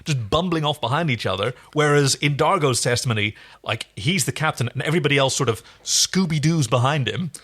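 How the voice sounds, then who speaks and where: A man co-hosting a casual podcast recap of a sci-fi series, animated and teasing. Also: medium pitch, a little fast, hardly any pauses. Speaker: podcast co-host.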